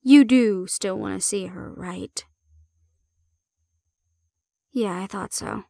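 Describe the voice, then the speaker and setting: A woman's voice: medium pitch, slow pace, vocal fry, mellow, dry recording. A woman plays a bold female handler in an audio drama, recorded dry and close. She is sardonic and blunt, teasing but assertive, and sounds completely unintimidated. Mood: firm, challenging. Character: bold, cocky non-human female handler, sardonic and unafraid. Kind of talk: audio roleplay acting.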